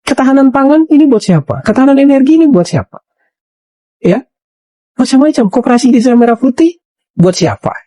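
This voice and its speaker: Male interview guest, high and soft-edged voice, insistent, conversational, rhetorical questioning. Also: lively intonation, brisk, pauses often. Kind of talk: conversational political argument.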